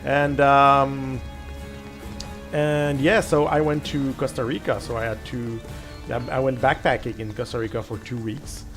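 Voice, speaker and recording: A man's voice: mid-range voice, flat delivery, unhurried, a bit bright. A middle-aged man whose first language is French, casually commenting on a game as he plays, thinking aloud with restarts and little self-deprecating asides. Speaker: gaming channel host. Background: game music.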